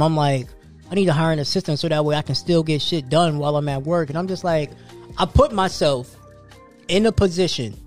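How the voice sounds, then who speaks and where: A man in his thirties talking casually and profanely on a podcast, telling stories about work and his goals. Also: fairly high pitch. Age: 30s. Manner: loose, profane, storytelling. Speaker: podcast host.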